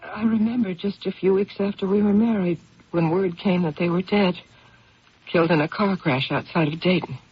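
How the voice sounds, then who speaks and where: A loyal wife in an old radio drama, steady and searching as she speaks to her husband. The voice is strikingly husky and breathy, and the broadcast sounds dull and aged. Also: lowish voice, moderate pace. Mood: surprised, gentle. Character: loyal, probing wife of a troubled lawyer. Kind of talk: 1970s radio drama acting.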